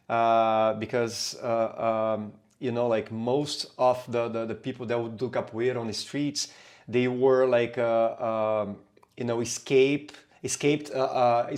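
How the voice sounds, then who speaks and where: A man from Brazil with a Brazilian Portuguese accent, a capoeira teacher chatting as a podcast guest, full of fillers and restarts. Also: medium pitch. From Brazil, São Paulo. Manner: hesitant, many fillers and restarts. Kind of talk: casual interview talk.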